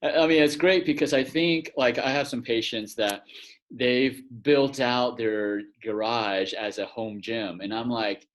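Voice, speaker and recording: A man hosting a casual interview, easygoing and quick to laugh, asking long rambling questions. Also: mid-range voice. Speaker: host, acupuncturist.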